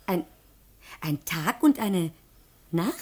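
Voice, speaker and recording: An actress playing a timid, virtuous, long-suffering wife in a theatrical radio comedy, hesitant and plaintive. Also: female voice, lowish voice, animated, slow, darkish tone, breathy edge, full character voice. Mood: anxious reluctance. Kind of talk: theatrical German classic radio comedy acting.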